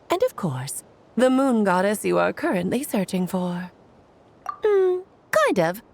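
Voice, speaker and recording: Theatrical witch, highly animated. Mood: confiding. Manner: whimsical, grandly theatrical. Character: Alice, playful, theatrical, eccentric powerful adult witch. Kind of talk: video game voice acting.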